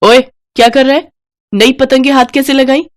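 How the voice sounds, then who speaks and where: A woman voicing a haughty rich mistress of the house in a sharp, bossy, accusing manner for a children's story. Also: high voice, fairly even intonation, moderate pace, a little hiss or hum, voice pushed up, lightly acted. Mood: angry scolding.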